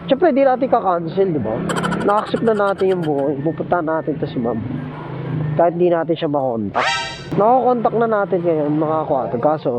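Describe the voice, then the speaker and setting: A Filipino man talks casually as he works as a motorcycle taxi rider. His manner is joking and polite, and the sound is dull, with clear road noise around him. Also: a little high, lively intonation, moderate pace. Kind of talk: casual chat with passengers and passers-by. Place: outdoors on a motorcycle in the street. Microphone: helmet intercom. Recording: muffled helmet intercom with loud road noise.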